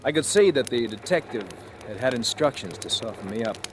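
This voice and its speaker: An actor playing a shrewd, cynical convicted embezzler who narrates his crime in deliberate, dramatic 1940s radio thriller style. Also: medium pitch, animated, natural voice. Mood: smug, measured. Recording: old radio drama with music behind.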